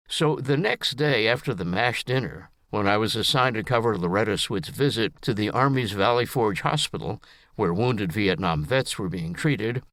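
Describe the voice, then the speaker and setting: Older man narrating with lively intonation. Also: fairly low pitch, no echo. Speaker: essayist reading own story. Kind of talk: scripted personal narration.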